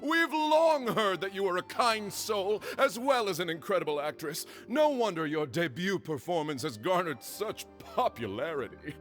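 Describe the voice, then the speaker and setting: An actor playing a pretentious theater critic in a video game, effusive, pompous and theatrical. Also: male voice, high voice, lively intonation, moderate pace, few pauses, fairly bright tone, faint music behind. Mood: admiring.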